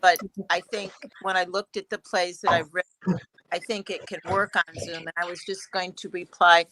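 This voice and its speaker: A woman's voice: on the low side, little pitch movement, bright, forceful tone, a little hiss or hum, poor audio. A woman speaking as a panelist on a video call, thinking her answers through and often repeating words as she goes. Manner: halting, thoughtful, repeats words. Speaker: playwright panelist.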